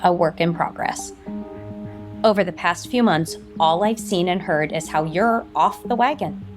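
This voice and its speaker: A woman's voice: fairly low pitch, measured pace, over music, lightly acted. Firm, reproachful big sister played by a woman. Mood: stern. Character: stern, critical older sister and company executive. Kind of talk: audio soap opera acting.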